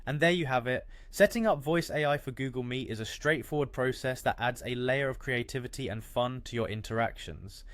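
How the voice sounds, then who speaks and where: A man narrates a scripted software how-to. His voice sits at an average male pitch, with neatly phrased, instructional delivery. The sound is dry, free of any room echo. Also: a little fast, few pauses. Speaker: tutorial narrator.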